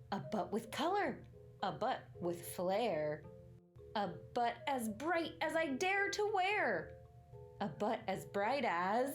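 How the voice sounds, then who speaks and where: Young storybook boy, played by a woman, imaginative and dramatic, high and unhurried, exaggerated rhyming delivery. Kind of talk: animated storybook read-aloud.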